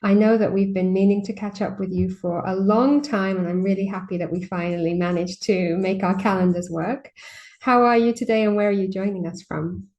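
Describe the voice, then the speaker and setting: Mellow-voiced woman hosting a podcast. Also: medium pitch, steady tone, a little fast, highs cut off. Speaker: podcast host. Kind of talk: conversational podcast interview.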